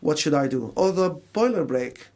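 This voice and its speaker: A man's voice: on the high side, lively intonation, moderate pace, a little mellow. A man from Syria with a Syrian Arabic accent, speaking conversationally as a guest in a radio interview and explaining things with imagined quotes. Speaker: mental health charity service manager, radio guest.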